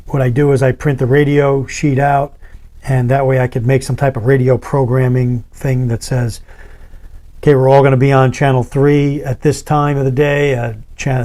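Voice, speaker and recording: A man's voice: mid-range voice, darkish tone. A man talking casually as a video host, explaining gear in plain words, step by step. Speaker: product reviewer and video host. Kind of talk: casual how-to product demonstration.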